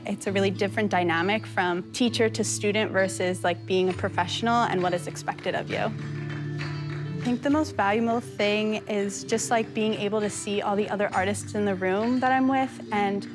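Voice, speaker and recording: A woman's voice: medium pitch, a little fast. A woman dance student giving a thoughtful, sincere interview. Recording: clear music underneath.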